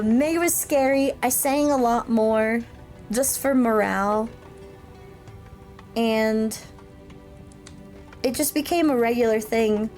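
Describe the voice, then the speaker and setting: A woman's voice: a little high, darkish tone. A woman in her thirties, a military veteran, chatting casually and telling stories on a livestream, laughing often. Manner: chatty and rambling, laughs often, with frequent fillers. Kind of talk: casual livestream chat and storytelling.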